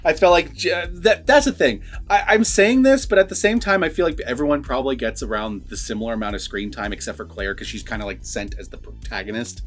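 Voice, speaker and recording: A man's voice: medium pitch, expressive, fairly quick, hardly any pauses, a bit bright, soft background music. A man chatting casually and profanely as a movie review co-host, rambling and repeating himself for emphasis.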